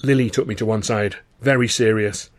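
A man reading a first-person audiobook in a wry, expressive storytelling manner. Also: fairly low pitch, fairly quick, no echo. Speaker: author reading own novel.

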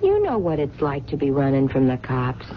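Blunt, animated American working-class sister. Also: female voice, rumbling low, normal speed, exaggerated voice. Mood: pleading. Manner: blunt, pleading, slangy. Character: plain-spoken, compassionate, lonely working-class sister. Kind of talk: 1970s American radio drama acting. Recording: muffled, noisy old radio broadcast recording.

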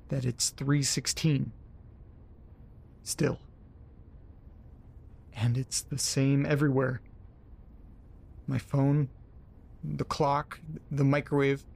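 A man acting in an audio drama as a frightened, hungover man alone in the dark, halting and repeating himself as he swears. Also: mid-range voice, vocal fry, slightly rough, heavily acted.

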